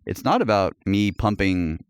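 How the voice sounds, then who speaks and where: Deep-voiced man in casual podcast chat. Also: measured pace, clean tone, no echo, studio quality. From United States. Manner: relaxed, rambling, laughs often. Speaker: podcast co-host.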